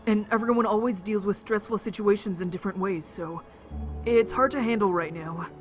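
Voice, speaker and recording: A woman's voice: medium pitch, fairly quick, soft background music, lightly acted. A woman playing an encouraging young survivor in an amateur audio drama, reasoning in a reassuring, patient way. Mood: sympathetic.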